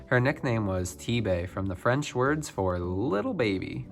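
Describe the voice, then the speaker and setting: A man reading a children's picture book biography aloud, phrase by phrase with expressive emphasis. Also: fairly low pitch, unhurried, faint music behind. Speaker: storybook reader.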